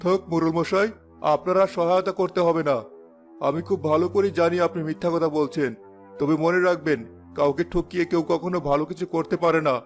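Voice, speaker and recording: A man's voice: on the high side, fairly even intonation, fairly quick, close to an everyday voice. A man voicing a poor, plain-spoken villager in a cartoon, distrustful and challenging. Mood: disillusioned, reproachful. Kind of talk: cartoon voice acting. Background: faint music.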